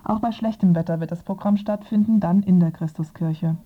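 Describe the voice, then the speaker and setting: A woman's voice: lowish voice, fairly quick. Woman radio reporter, thin phone-like sound. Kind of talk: scripted radio magazine narration.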